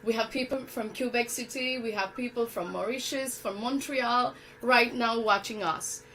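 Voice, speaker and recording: A woman's voice: on the high side, moderate pace, fairly bright tone, a little hiss or hum, poor audio. A woman hosting an online show, chatting with many fillers. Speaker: host.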